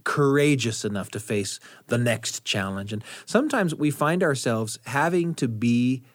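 A man's voice: medium pitch, expressive, a little slow, no echo. Male radio host, close and dry. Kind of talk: warm scripted radio hosting and storytelling. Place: radio studio.

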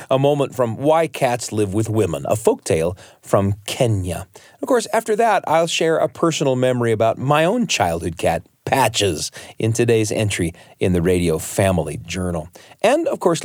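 Animated, folksy male radio host. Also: medium pitch, dry recording. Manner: folksy, storyteller-like, addresses listeners as friends. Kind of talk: scripted, playful radio narration. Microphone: close studio microphone. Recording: dry studio with music underneath.

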